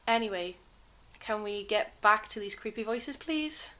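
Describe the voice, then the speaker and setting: Actress as sharp, uneasy 1930s woman. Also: medium pitch, unhurried. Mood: uneasy, insistent. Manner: insistent questioning. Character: uneasy, questioning 1939 television woman, impatient. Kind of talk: fan-made period audio drama acting.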